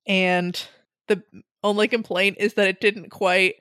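A woman's voice: mid-range voice, level tone, fairly bright tone, clean tone, dry recording, clean studio sound. Easygoing, wry young woman co-host. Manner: easygoing, wry, quick with asides. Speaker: podcast co-host. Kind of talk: casual chat.

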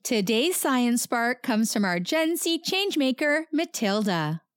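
A woman's voice: fairly high pitch, big pitch swings, unhurried, clean tone, no echo, studio quality. A woman hosting a children's podcast with a scripted introduction. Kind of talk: scripted kids' show introduction. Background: music.

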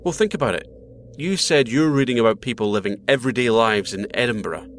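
A man playing a calm, friendly space mission captain with dry humour in a naturalistic audio drama. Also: mid-range voice, a little fast, slight background noise, natural voice. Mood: reflective, friendly.

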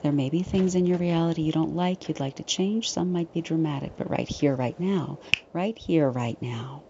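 Female meditation guide, low and mellow, soft breathy near-whisper, reassuring and unhurried. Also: muffled recording, slight background noise. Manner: soothing, unhurried, gently reassuring.

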